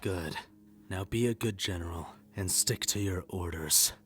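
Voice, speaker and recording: A man's voice: sits low, measured pace, a bit bright, faint music behind. An actor playing a bitter, unhinged widowed middle-aged father, sardonic and menacing, in a dramatic comic dub. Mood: drained, bitter.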